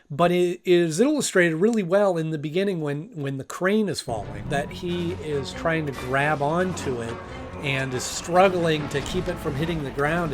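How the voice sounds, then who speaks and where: Slightly high-voiced man reviewing casually. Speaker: host, cartoon reviewer.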